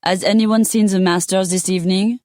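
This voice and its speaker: A woman's voice: mid-range voice, fairly even intonation, brisk, darkish tone, dry recording, studio quality, pitched above the performer's own voice, lightly acted. Woman putting on a haughty French seductress. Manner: haughty, dramatic. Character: vain jealous Frenchwoman from Paris.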